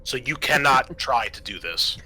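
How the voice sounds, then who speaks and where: A man with a very bright, forceful voice runs a tabletop roleplaying game. He narrates scenes and rulings in a measured, explanatory way, restarting phrases as he goes, at a middling pitch. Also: lively intonation, husky, poor audio. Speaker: game master.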